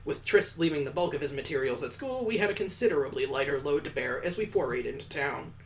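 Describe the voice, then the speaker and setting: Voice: mid-range voice, expressive, quick pace, slight background noise. A let's-play host reading a visual novel aloud in a dramatic narrating style, breaking off into wry, incredulous, casual asides.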